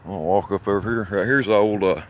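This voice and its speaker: A man's voice: lowish voice, quick pace. A man with an Alabama Southern accent giving a rambling, folksy, boastful and coarse commentary as he walks outdoors. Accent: Alabama Southern American. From Alabama, United States. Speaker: amateur vlogger. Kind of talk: rambling walk-along commentary. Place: outdoors, walking along small-town streets. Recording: noisy outdoor recording with traffic sounds. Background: outdoor ambience, passing vehicles.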